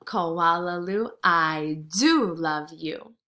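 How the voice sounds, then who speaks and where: A woman reading the part of a loving mother koala in a storybook, tender, reassuring and deliberate. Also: on the low side, bright, forceful tone, pitched below the performer's own voice, heavily acted.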